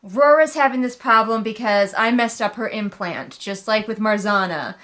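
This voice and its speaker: A woman's voice: medium pitch, animated, normal speed, a bit bright, close to an everyday voice. A woman voicing a melodramatic, self-pitying, obtuse young fantasy heroine in a whiny, overdramatic manner, as a mocking book retelling. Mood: stubborn denial.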